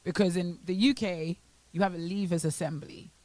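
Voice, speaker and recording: A young British woman co-hosting a morning radio show, chatting casually and acting out quotes in an animated way, her accent sometimes drifting toward Bahamian. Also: fairly low pitch, moderate pace, no echo. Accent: British, at times Bahamian. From Britain. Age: young adult. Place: radio studio.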